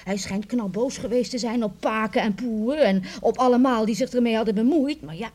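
An actress playing a village woman who tells eerie folk tales to youngsters in an old radio play, in a folksy, drawn-out way. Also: a little high, a little mellow, lightly acted. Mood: eerie relish. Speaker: storyteller. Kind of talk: radio drama acting.

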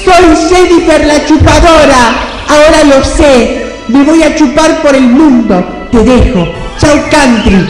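A woman's voice: high voice, takes it slowly. A woman playing a dramatic, rebellious wife turned wacky superheroine in a comedic radio play, wailing and exclaiming theatrically. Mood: defiant. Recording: echoey hall with loud music behind.

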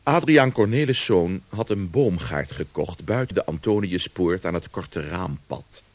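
A man's voice: deep voice, husky. A man narrating a scripted radio drama as storyteller.

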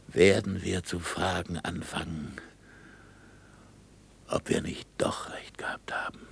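Gravelly, deep-voiced stubborn scientist. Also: male voice, a bit bright, heavily acted. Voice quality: gravelly, husky. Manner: deliberate, emphatic speech-making. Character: stubborn aging Italian astronomer, passionate, sardonic, doubting. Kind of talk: German radio play acting.

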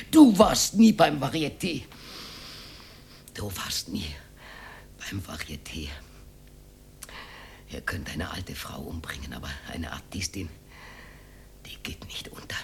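An actress playing a proud, aggrieved old cleaning woman with an Austrian accent and a husky, cracked voice in a radio drama. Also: extremely low pitch, heavily acted. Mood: wounded, defiant. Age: older. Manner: emotional, rambling, swinging from mutter to outcry.

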